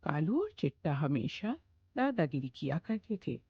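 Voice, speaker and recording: A woman's voice: low voice, big pitch swings, unhurried, husky, dry recording, dull audio. A woman narrating a children's cartoon story.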